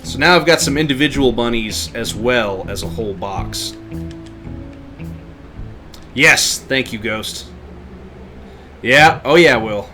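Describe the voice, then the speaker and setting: Mid-pitched man livestreaming a game. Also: slow, pauses often, sharp tone, soft background music. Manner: narrates his moves, chatting to viewers. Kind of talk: casual live gameplay commentary.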